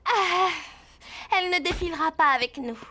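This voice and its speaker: A woman voicing an earnest teenage girl rider in a French cartoon dub, with expressive, emphatic delivery. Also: very high-pitched, moderate pace. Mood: hesitant, matter-of-fact. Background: background music.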